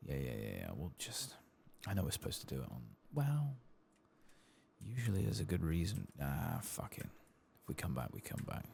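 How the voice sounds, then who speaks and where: A man giving soft-spoken ASMR commentary over a video game, often slipping into whispers. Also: sits low, wildly swooping pitch, moderate pace, vocal fry, a little hiss or hum. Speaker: host of an ASMR game playthrough.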